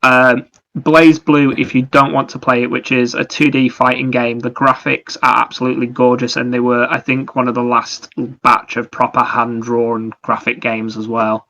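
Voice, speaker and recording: Bright-voiced man, fairly even pitch. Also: normal speed. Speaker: podcast co-host. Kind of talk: casual chat about anime and games.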